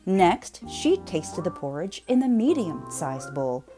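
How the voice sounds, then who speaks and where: Animated woman reading a storybook, unhurried pace. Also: fairly low pitch, music in the background. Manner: playful, expressive, drawn-out emphasis on words. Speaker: storyteller reading a picture book aloud. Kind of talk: animated children's read-aloud narration.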